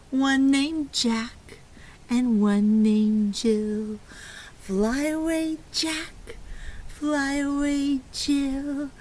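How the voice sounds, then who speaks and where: A woman's voice: sits high, darkish tone, slight background noise. A woman leading a lesson for young children in a playful, sing-song preschool teacher's manner. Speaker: early learning preschool teacher. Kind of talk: children's lesson with sung fingerplay rhymes.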